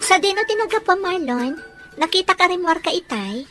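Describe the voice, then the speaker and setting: A woman's voice: very high-pitched, animated, fairly quick, over music. A woman playing a sincere, dutiful young woman newly in love in an Ilocano audio drama. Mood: friendly.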